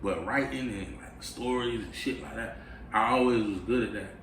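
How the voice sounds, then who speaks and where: A man's voice: mid-range voice, moderate pace, a bit bright, slight background noise. A man from Florida, a rapper, chatting loosely with lots of slang and swearing in an interview. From Fort Lauderdale, Florida. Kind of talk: casual interview chat. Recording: echoey room, mic far off, music behind.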